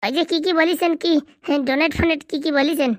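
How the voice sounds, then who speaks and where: A woman's voice: sits high, fairly even intonation, fast, no room sound, clean studio sound, close to an everyday voice. A woman playing a cheeky, impatient male hospital patient in a comedy cartoon, wheedling and chatty. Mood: eager, wheedling.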